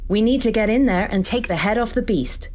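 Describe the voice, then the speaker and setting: An actress playing a brisk, bossy female AI implant with a wry, matter-of-fact manner, in a game-style audio drama. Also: fairly high pitch, background noise, lightly acted. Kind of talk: scripted audio drama parodying video game tutorials.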